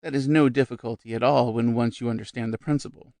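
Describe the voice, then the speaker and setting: A man voicing a vivacious, shrill middle-aged society woman who gushes about spirits, raised high and loud above his own voice. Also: steady tone, fast, no room sound, lightly acted. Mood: lecturing.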